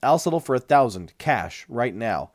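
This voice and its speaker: A man's voice: mid-range voice, big pitch swings, moderate pace, darkish tone, no echo, clean studio sound, voice pushed up, natural voice. A man voicing a tough, sardonic patient in an audiobook, blunt and commanding with a threatening edge. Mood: hard bargaining. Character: tough, demanding, sardonic escaped male patient. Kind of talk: audiobook character dialogue.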